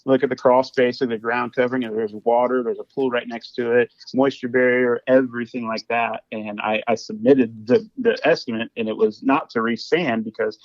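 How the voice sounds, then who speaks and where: A man with a Midwestern accent talks shop as a podcast guest. He rambles, repeats words and restarts his sentences often. His voice sits at a middle pitch and sounds dry and slightly dull, as if from a lo-fi recording. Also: level tone, normal speed, hardly any pauses, no room sound. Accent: Midwestern American. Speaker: guest, flooring business owner. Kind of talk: casual podcast conversation. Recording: dull lo-fi audio.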